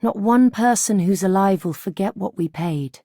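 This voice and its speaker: A woman's voice: medium pitch, moderate pace, mellow, dry recording, clean studio sound, lightly acted. A woman playing a polite, businesslike, kindly city woman in a game. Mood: composed, friendly. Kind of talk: scripted game character greeting.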